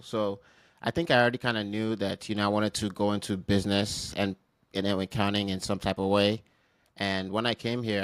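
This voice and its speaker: Low-voiced Nigerian-born man, casual. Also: dry recording. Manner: relaxed, many fillers like "you know" and "like". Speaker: guest, accounting firm founder. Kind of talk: casual interview talk.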